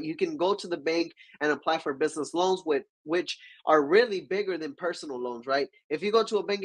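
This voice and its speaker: A man's voice: a little high, rough recording. A young man with a stuffy cold hosting a business live stream, talking with energy and asking viewers to comment. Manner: energetic, gestures with both hands, keeps asking viewers to comment. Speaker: livestream host. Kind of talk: business livestream teaching. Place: small dark-walled home studio.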